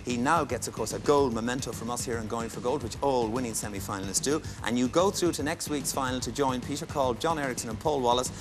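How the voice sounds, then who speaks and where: A man hosting a television quiz show, announcing clues and scores in a crisp, formal way and lifting into cheers for winners. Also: medium pitch, quick pace, hardly any pauses, over music. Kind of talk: brisk game-show hosting. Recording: studio audience and background noise.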